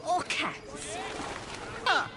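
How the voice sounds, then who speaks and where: A woman playing an excitable upper-class Victorian politician's wife in a video game, with a grand, chatty English upper-class accent. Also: extremely slow, very noisy. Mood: thrilled, curious. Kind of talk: video game cutscene acting. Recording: loud music over the dialogue.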